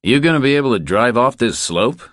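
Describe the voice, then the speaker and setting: A man's voice: mid-range voice, lively intonation, a little fast, a bit bright, no room sound, studio quality, pitched above the performer's own voice, natural voice. A man playing a blunt, unflappable tough drifter of few words in a thriller audiobook. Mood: curious, terse. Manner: blunt, laconic.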